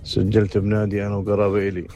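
A man's voice: lowish voice, completely flat delivery, rapid-fire, mellow, lo-fi sound, slight background noise. A man calling a radio show to tell a humorous anecdote.

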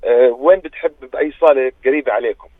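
A man in his thirties with a Jordanian accent calls in and briskly tells an anecdote about his engagement. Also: medium pitch, no echo. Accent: Jordanian Arabic. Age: 30s. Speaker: caller. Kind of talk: phone-in personal story. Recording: tinny phone line.

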